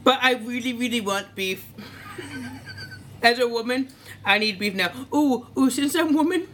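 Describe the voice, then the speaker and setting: A woman's voice: on the high side, huge pitch swings, measured pace, a bit bright, a little hiss or hum, heavily acted. A woman voicing a chatty Indian-American woman from New Jersey, speaking in an Indian accent with broken-English phrasing. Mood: giddy. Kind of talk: improv character interview.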